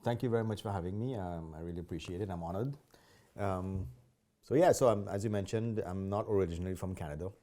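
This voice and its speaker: A man's voice: sits low, fast talker, warm tone. A middle-aged man with a Trinidadian accent, a podcast guest talking politely and unhurriedly, with frequent ums. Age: 40s or 50s. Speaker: guest, martial arts instructor. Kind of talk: casual interview.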